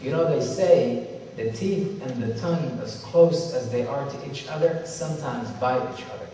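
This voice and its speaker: A man from Somalia giving a religious lecture to an audience, speaking in a measured, repetitive way with slow emphasis and Arabic recitations. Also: mid-range voice, mellow, a little hiss or hum. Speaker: symposium speaker. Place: large hall with audience. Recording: echoey hall from far off.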